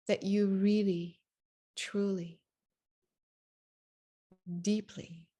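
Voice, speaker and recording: A woman leading a guided meditation, giving spoken instructions in deliberate short phrases with long pauses between them. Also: medium pitch, dark tone.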